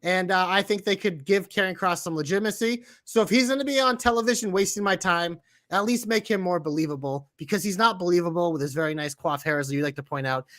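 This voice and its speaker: Bright, high-voiced man with a Boston accent. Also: fast, few pauses. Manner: loud, profane, breaks into shouted impressions. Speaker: podcast co-host. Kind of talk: casual wrestling podcast chat.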